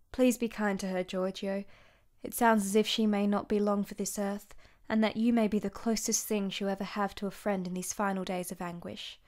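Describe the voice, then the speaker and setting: A woman's voice: fairly high pitch, natural voice. A woman playing a tender, yearning young mother in a radio play, voicing a formally worded love letter. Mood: pitying. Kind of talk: dramatic monologue in radio play.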